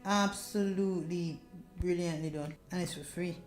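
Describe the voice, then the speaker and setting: Female game streamer, low voice, dramatic visual novel narration plus casual commentary, faint music underneath. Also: fairly even intonation, moderate pace, a little mellow.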